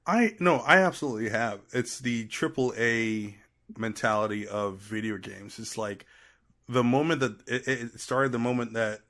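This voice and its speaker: A man's voice: medium pitch, moderate pace, a bit bright. A man from Trinidad chatting casually and playfully as a podcast guest. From Trinidad and Tobago. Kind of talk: casual chat.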